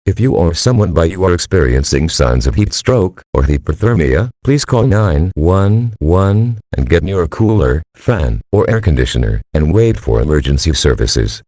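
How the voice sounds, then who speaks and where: A man's voice: deep voice, sing-song, moderate pace, few pauses, a little mellow, dry recording. A male voice playing an emergency alert system announcer, reading a scripted warning in a formal, mechanical, solemn manner. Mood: impersonal, solemn.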